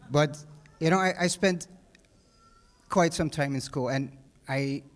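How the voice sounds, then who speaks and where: A man's voice: a little high, slow. A middle-aged man with a Dutch accent giving a casual, rambling graduation speech in a large hall, full of fillers and restarts. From Netherlands. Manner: conversational, many fillers and restarts. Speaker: guest speaker.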